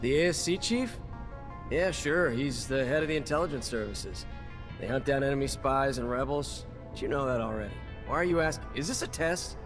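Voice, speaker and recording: An actor playing a cynical veteran soldier in a video game, gruff and blunt in manner. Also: male voice, medium pitch, lively intonation, fairly quick, close to an everyday voice. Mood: guarded, curt. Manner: gruff, sardonic, blunt, swearing. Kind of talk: video game dialogue acting. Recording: music behind the voice.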